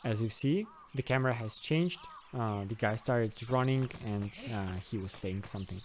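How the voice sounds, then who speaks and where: A man's voice: on the low side, moderate pace, a little hiss or hum. A man giving an unscripted screen-recorded tutorial as its host, hesitant and thinking aloud step by step. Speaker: host of a video game modding tutorial.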